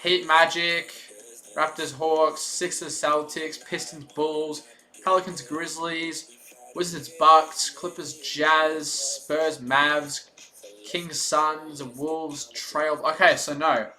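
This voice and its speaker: Young guy doing fan play-by-play on a stream, bright kinda high voice, getting hoarse. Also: slow. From Australia. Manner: excited rooting fan, loud on big plays, chatty in lulls. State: losing his voice. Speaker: stream host.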